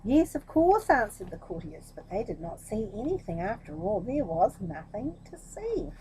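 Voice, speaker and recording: Female children's storyteller, expressive and lively, mellow tone, talks straight to the kids, some background noise. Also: mid-range voice, normal speed, low-quality recording. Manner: expressive, addressing young listeners directly. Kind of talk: children's story reading with explanatory asides.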